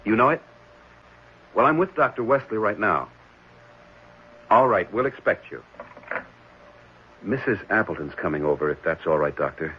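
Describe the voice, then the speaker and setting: Concerned ordinary man, thoughtful, conversational, low pitch, radio drama, dull sound. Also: expressive, normal speed, long pauses, husky. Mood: concerned, obliging. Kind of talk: 1970s radio drama acting. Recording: dull, slightly noisy 1970s radio recording.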